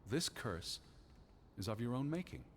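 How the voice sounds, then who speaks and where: An actor playing a stern, learned 1920s American naturalist in a video game, earnest and skeptical, speaking precisely and formally. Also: male voice, mid-range voice, big pitch swings, a little slow, a little hiss or hum. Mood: grave, thoughtful.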